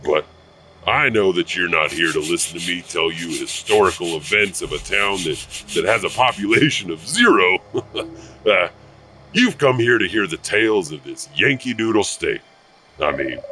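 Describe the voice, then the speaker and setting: An actor playing a creepy caretaker who hesitantly and theatrically hosts a horror anthology of ghost tales. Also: male voice, fairly low pitch, pushed and bright, distant mic, slight background noise. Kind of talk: horror anthology host narration.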